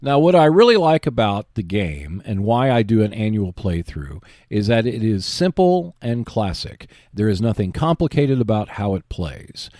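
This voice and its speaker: A man hosting a board game podcast, delivering a prepared monologue in a measured, clearly enunciated presenter style. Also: fairly low pitch, sing-song, a little mellow, no echo, clean studio sound. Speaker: podcast host.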